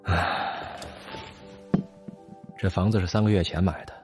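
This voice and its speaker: Grieving scientist, low voice, sighing, scornful, audio drama. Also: male voice, steady tone, a little slow, over music. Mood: mournful, bitter. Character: brooding, scornful male theoretical physicist in mourning.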